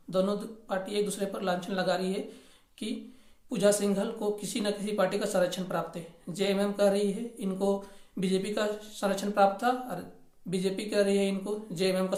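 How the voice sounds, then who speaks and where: A man presenting an informal news explainer, repeating points and mixing English terms into his Hindi. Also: sits high. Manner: repetitive, mixes in English terms. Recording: echoey room recording.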